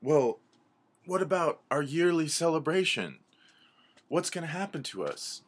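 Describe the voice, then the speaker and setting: Frightened storybook woodland creature, acted by a man, raised pitch, flustered and repetitive. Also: steady tone, normal speed, pushed and bright, breathy edge. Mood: worried. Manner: panicky, repetitive. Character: frightened flustered crowd of small forest animals.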